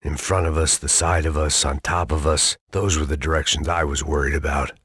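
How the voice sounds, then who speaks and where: An actor playing a weary, cynical middle-aged ex-cop who narrates in a deadpan, bitter, self-mocking way. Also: male voice, rumbling low, brisk, husky, no echo, clean studio sound, full character voice. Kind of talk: hard-boiled video game narration.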